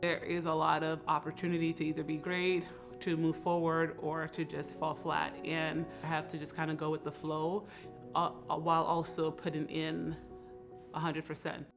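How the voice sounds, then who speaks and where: A woman's voice: sits low, completely flat delivery, normal speed. A woman giving an interview testimonial as a scholarship recipient. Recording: music laid under the voice.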